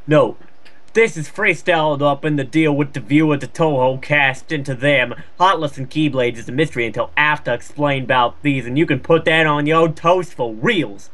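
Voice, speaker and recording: Higher-voiced, exasperated man. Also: moderate pace, a bit bright. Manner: exasperated, swearing. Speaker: co-host reading fan fiction aloud. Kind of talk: joking read-aloud with casual asides.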